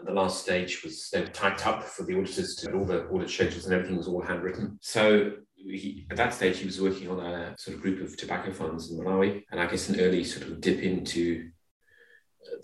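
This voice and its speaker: A middle-aged man from Zimbabwe giving measured, slightly hesitant explanations as a guest in a conversational interview. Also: deep voice, flat delivery. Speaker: podcast guest. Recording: roomy, distant microphone.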